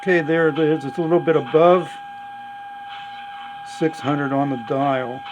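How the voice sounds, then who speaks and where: A man's voice: on the high side, steady tone, a little slow, background noise. A man giving an unscripted hands-on technical walkthrough, thinking aloud in a matter-of-fact way. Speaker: presenter of a radio repair demonstration.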